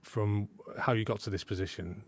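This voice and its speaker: Male podcast host, lowish voice, friendly and encouraging, dry studio sound with faint music. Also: steady tone, normal speed, breathy edge, no echo. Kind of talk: interview hosting.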